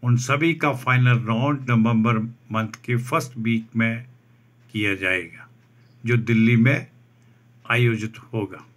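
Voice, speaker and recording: A man reads out a job advertisement over a document, slowly restating each English term in Hindi. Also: mid-range voice, a bit bright. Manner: slow, deliberate, restates each point in Hindi after English terms. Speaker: job vacancy channel host.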